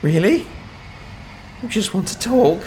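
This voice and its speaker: A man voicing a cruel, mocking dark elf villain in a fantasy audio story, with a drawn-out, taunting delivery. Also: mid-range voice, a little hiss or hum. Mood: feigned panic.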